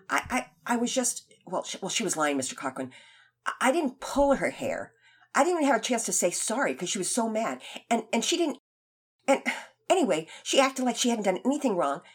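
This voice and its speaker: A woman voicing an eager, defensive young boy who stammers and rushes his words in a storybook reading. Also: sing-song, darkish tone, husky, full character voice. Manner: fast, stammering, high-pitched.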